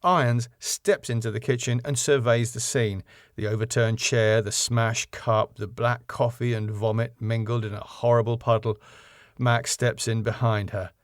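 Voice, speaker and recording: A man's voice: mid-range voice, steady tone, normal speed, no echo. Dry-recorded man narrating a script. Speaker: writer and narrator. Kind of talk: scripted narration of stage directions.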